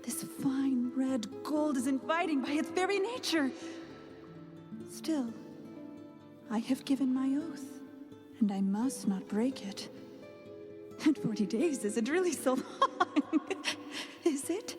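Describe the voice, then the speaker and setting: An actress playing a naive, curious young wife who muses theatrically to herself in an audio drama. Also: female voice, high-pitched, expressive, takes it slowly, pauses often. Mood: curious, hesitant. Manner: theatrical musing aloud. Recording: prominent music under the voice.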